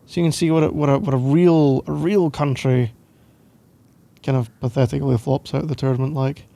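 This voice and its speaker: A man's voice: medium pitch, steady tone, fairly quick, creaky, mellow. A young Scottish man doing casual boyfriend roleplay ASMR in a playful, teasing, rambling way, close to the microphone. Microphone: close microphone, dry sound.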